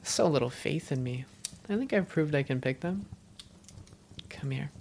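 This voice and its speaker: Woman playing a low, creaky-voiced vampire girlfriend. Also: animated, normal speed, darkish tone, slight background noise. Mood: tender.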